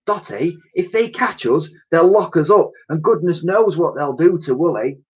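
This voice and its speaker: A man voicing a bold, curious young girl in a children's storybook, excitable and urgent. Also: on the high side, moderate pace, voice pushed up, natural voice. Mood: anxious. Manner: excitable, calling out and questioning. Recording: dull lo-fi home recording.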